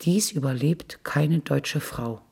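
A woman reading scripted literary prose as a narrator, with a raspy voice. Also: low-pitched, animated, slow pace, music in the background.

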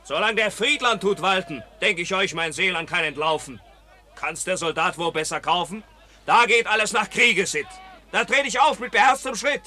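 An actor playing a boastful, swaggering soldier in a radio drama, bragging loudly. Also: male voice, high-pitched, normal speed. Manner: boisterous, swaggering.

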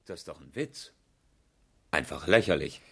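Low-voiced, breezy rally driver. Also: male voice, animated, moderate pace, breathy edge, full character voice. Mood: indignant. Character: breezy, indignant male amateur rally driver and engineer. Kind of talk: 1970s German radio crime drama acting.

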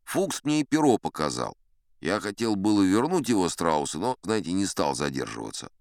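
A boastful old sea captain in an audiobook drama, spins his tall tales in a low-pitched voice. His delivery swings expressively up and down, wry and self-important, with dramatic pauses. The sound is close and dry. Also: sing-song, a little mellow, dry recording. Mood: animated, amused.

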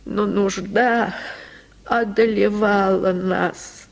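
Worn-out widowed washerwoman played by a woman for a radio tale, mid-pitched, slow, plaintive. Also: steady tone, gravelly. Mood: weary, plaintive. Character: worn-out poor widowed washerwoman recalling hardship.

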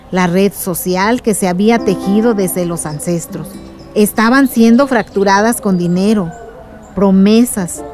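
Brief: actress as warm storytelling aunt for radio drama, medium pitch, poetic and reflective, music underneath. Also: female voice, lightly acted. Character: warm reflective village aunt recounting the past to her niece.

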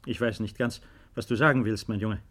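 An actor playing a principled middle-aged father and estate owner in 1950s radio drama style, speaking in measured, deliberate, earnest phrases. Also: fairly low pitch, steady tone, fairly bright tone, lightly acted. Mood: measured, explaining.

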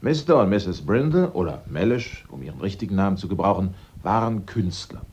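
A man acting a sharp-witted amateur sleuth in a German radio drama, theatrical and assertive as he sets out his case step by step. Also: sits low, sing-song, fairly quick, lo-fi sound. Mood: triumphant, conclusive.

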